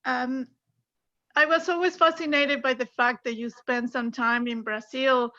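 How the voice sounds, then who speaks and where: A woman's voice: sits high, fairly even intonation, a little slow, sharp tone, lo-fi sound. A woman museum curator on a panel, courteously thanking the hosts and asking a curious question of the artist.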